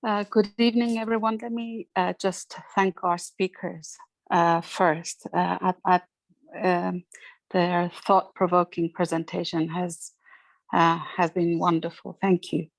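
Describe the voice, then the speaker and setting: A middle-aged woman sharing a reflection in an online discussion, speaking earnestly and deliberately with emphasis. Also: on the low side, flat delivery, no echo. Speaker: audience participant sharing a reflection. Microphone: video call.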